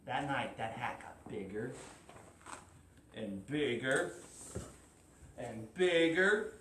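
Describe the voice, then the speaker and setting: Female children's storyteller: lively swooping pitch, questioning and suspenseful, heard roomy and distant with faint noise. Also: very slow. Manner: asks listeners questions, builds repetition for suspense. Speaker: storyteller at a library story time.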